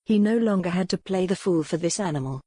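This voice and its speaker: A woman's voice: on the low side, animated, quick pace, dark tone, husky, no room sound, studio quality. A woman reading historical fiction as a scripted, dramatic audiobook narration.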